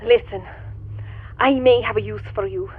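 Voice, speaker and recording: A woman playing an imperious, mysterious woman from another universe in a pulp radio drama, her sweet, deliberate voice heard through a radio filter. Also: medium pitch, fairly even intonation, slightly rough. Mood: urgent, commanding. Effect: radio transmission filter. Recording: thin radio-filtered voice, narrow band. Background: music.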